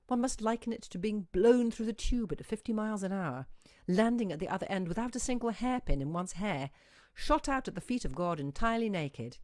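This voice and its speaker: A woman reading a short story aloud as an expressive, musing narrator with dramatic emphasis. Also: lowish voice, no room sound, studio quality. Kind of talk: expressive literary audiobook reading.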